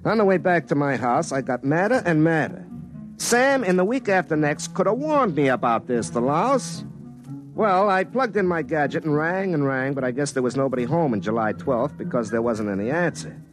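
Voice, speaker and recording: An excitable small-town phone repairman in a vintage radio play. His pitch swings widely as he moves between wry, folksy storytelling and flustered protest. The old recording carries faint music. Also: moderate pace, low-quality recording. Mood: wry, rueful. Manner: folksy, wry narration turning to flustered, stammering pleading. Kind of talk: classic radio drama acting with first-person narration.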